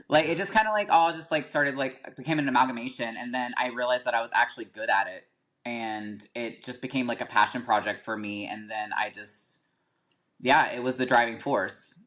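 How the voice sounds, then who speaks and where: A man's voice: medium pitch, normal speed, a bit bright. A man, a guest telling his story casually, full of "like" and "um". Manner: chatty, filler-heavy, frequent "like" and "um". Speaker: podcast guest, rapper.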